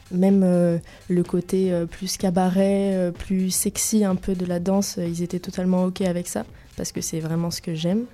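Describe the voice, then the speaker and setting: A young woman dancer answering personal questions, hesitant and pausing often. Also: fairly low pitch, mellow. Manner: hesitant, many pauses. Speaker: cabaret dancer guest.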